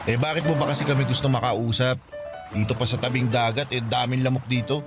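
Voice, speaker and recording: A man's voice: medium pitch, steady tone, fast, close to an everyday voice. An actor playing Makoy, a guarded and hesitant young man, in a radio drama. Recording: music bed under dialogue.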